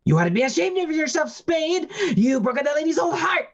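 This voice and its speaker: A woman plays a tough gangster boss from a noir radio play. The voice sits high and leaps around a great deal, with menacing, slangy tough-guy delivery. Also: sing-song, brisk, lo-fi sound. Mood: tough, mocking. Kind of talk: hard-boiled radio play acting.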